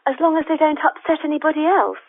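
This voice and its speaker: Female phone-in caller: considerate, earnest, polite, high-pitched, narrow phone sound. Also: fast. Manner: earnest, considerate, matter-of-fact. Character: polite, well-meaning, contradictory woman caller. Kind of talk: casual radio phone-in opinion. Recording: tinny phone line.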